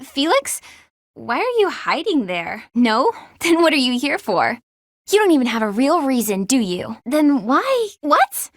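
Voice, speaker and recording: A clumsy, excitable young student in a game, high and bright with a pitch that leaps all over. She gets flustered fast and hums silly songs she makes up. The sound is close and dry. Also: dry recording, heavily acted. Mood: flustered, shy. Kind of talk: video game character dialogue.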